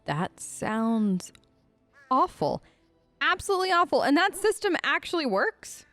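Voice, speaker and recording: A woman's voice: high-pitched, sing-song, measured pace, fairly bright tone, no echo, faint music behind. A woman hosting a role-play let's play, improvising her character's side of the dialogue in a chatty, conversational way. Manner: chatty, conversational, reacts with questions.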